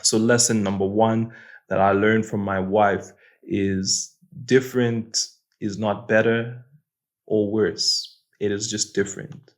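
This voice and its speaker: A man's voice: lowish voice, flat delivery, slow. A young man from Malawi hosting his own channel, talking through life lessons in an earnest, reflective way and often repeating words as he thinks. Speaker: host. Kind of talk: casual reflective monologue.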